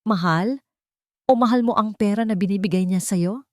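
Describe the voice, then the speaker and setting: A woman voicing an angry, bitter husband who accuses forcefully in a dramatized story. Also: medium pitch, normal speed, no room sound, studio quality, voice pushed up, close to an everyday voice. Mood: furious, bitter. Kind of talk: audio drama storytelling in Tagalog.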